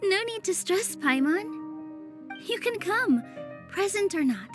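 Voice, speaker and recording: A sweet, humble young performer, high and soft-spoken. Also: female voice, big pitch swings, takes it slowly, over music, full character voice. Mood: gentle. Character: young woman dancer, gentle and modest. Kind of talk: video game voice acting.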